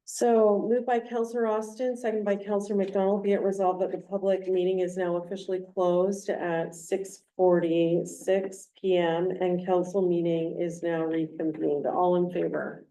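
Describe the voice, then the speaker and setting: A woman's voice: on the low side, little pitch movement, moderate pace, hardly any pauses, mellow. Mayor woman in an echoey chamber. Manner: plainspoken, explaining with everyday examples. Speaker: mayor chairing a council meeting. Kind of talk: chairing a public meeting. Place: council chamber. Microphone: distant room mic. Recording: echoey council chamber from far off.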